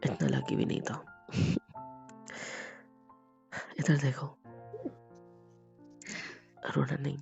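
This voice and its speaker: A man playing a young husband who is hot-tempered but tender and apologetic, speaking softly and often near a whisper. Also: mid-range voice, very animated, drawn-out words, gravelly, pauses often, dull audio, faint music behind, full character voice. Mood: reassuring. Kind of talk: romantic audio drama.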